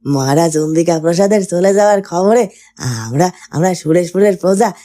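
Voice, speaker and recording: Humble cartoon villager, young man voiced by a woman. Very soft mellow tone, lowish pitch, stammering and respectful. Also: animated, close to an everyday voice. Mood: nervous, respectful. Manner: halting, stammering, deferential. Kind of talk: Bengali fairytale cartoon dubbing.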